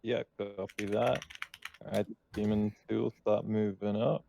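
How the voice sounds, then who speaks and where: A man's voice: on the low side, fairly even intonation, unhurried, gravelly, mellow, a little hiss or hum. A man playing a tabletop game, talking casually as he makes his squad's moves. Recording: slightly dull lo-fi mic.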